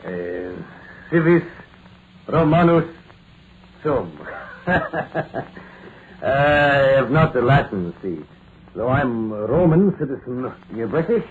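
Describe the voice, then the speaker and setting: An actor playing a friendly, plain-spoken Roman legionary with archaic phrasing in an old radio drama. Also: male voice, medium pitch, sing-song, slow pace, pauses often. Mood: hearty, proud. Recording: tinny, noisy vintage radio recording.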